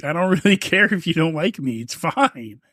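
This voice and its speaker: Man, fifties, podcast co-host, rambling casual chat, swears a lot, slightly high voice, dry close sound. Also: expressive, moderate pace, sharp tone, dry recording. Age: 50s. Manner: laid-back, swears often, rambling with restarts.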